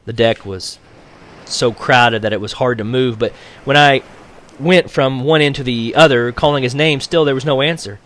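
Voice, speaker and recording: A man reading a novel aloud as a teacher would for his class, in an expressive audiobook style. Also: medium pitch, normal speed.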